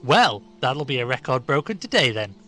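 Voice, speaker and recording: A man voicing an ill-mannered, scornful schoolboy scout with a sneering, insolent delivery, pitched well above his own voice. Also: big pitch swings, normal speed, fairly bright tone, soft background music.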